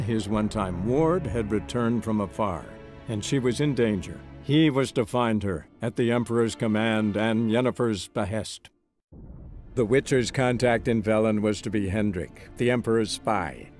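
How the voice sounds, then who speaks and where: Fantasy game narrator: male actor as a bard, lowish pitch, storybook delivery with measured drama, faint score underneath. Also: a little mellow, soft background music, lightly acted. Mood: dramatic emphasis. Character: male bard recounting his monster-hunter friend's adventures.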